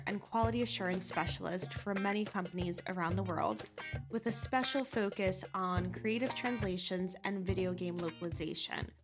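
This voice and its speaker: A woman hosting a university interview podcast, speaking in a friendly way with frequent "um"s and restarts. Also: medium pitch. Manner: friendly, hesitant with frequent fillers. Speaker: podcast host.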